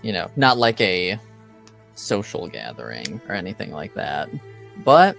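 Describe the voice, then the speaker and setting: A man running a tabletop roleplaying game as game master, improvising narration in a halting, hesitant way. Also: low voice, big pitch swings, gravelly, fairly bright tone, faint music behind.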